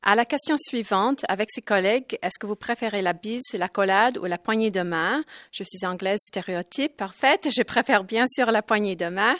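A woman's voice: mid-range voice, normal speed, hardly any pauses, a bit bright, dry recording, clean studio sound. A woman whose first language is English, answering in a self-mocking, matter-of-fact way. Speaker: listener.

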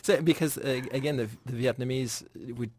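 A man hosting an interview, asking measured questions with frequent restarts. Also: mid-range voice, a little mellow, husky, dry recording. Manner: measured, hesitant, many restarts. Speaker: radio interviewer, host.

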